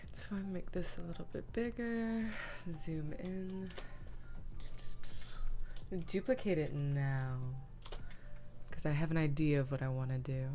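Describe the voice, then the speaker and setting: A woman hosting a livestream, casually talking through her computer work and half muttering to herself, with soft music behind her. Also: low-pitched, steady tone, measured pace, long pauses. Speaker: livestream host. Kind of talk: casual livestream chat narrating image editing. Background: lo-fi music, computer keyboard typing.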